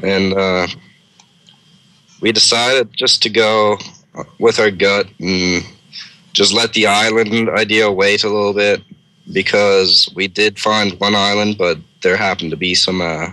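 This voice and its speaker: Bright, very low-voiced young-sounding man. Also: little pitch movement, unhurried. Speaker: co-host of a storytelling series. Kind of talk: casual improvised storytelling.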